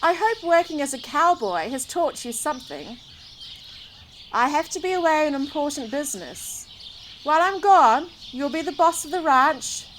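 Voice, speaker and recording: A woman's voice: high-pitched, animated, normal speed, a bit bright. A woman voicing a stern, weary cowboy father scolding his grown son in a children's storybook. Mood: earnest, instructing. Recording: outdoor background noise with birdsong.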